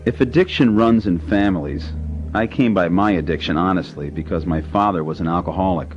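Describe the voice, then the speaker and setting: A man in a radio drama narrating his own story in a calm, measured way. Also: low voice, lightly acted. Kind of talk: scripted first-person narration. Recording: lo-fi radio with music under.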